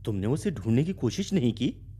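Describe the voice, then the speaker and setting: A sympathetic young listener in a radio play. His husky, dark voice has lively swings as he puts formal, gently curious questions. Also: medium pitch, a little fast.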